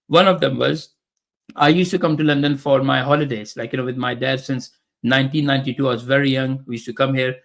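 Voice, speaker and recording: A man's voice: medium pitch, fairly even intonation, quick pace, vocal fry, fairly bright tone. Earnest man speaking English as a third language. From Saudi Arabia. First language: Urdu. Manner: earnest, polite, with restarts and fillers. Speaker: guest. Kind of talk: casual interview.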